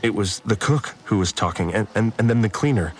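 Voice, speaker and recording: A male actor playing a troubled, obedient farmer confined on a spaceship, giving a halting, confessional audio diary in a science fiction drama. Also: lowish voice, expressive, lightly acted. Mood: awed, halting. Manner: halting, repeats words, confessional. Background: music.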